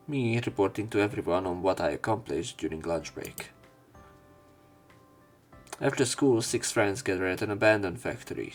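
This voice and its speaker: A man reading a visual novel aloud as a let's player, mixing narration with casual, crude commentary. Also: sits low. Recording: background game music. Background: game music.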